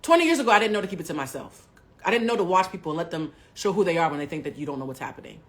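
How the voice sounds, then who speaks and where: A woman in her forties hosting a livestream, telling a personal story bluntly and with plenty of profanity, swinging between hushed asides and loud bursts. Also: lowish voice, animated, very fast. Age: 40s. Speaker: livestream host. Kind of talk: candid storytelling to a live audience.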